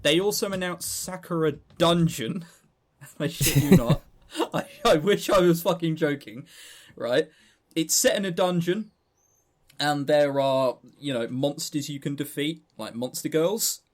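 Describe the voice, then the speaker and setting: A man with a southern English accent co-hosting a casual gaming news chat, animated, sardonic and sweary in manner. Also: fairly high pitch, unhurried. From southern England. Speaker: podcast co-host.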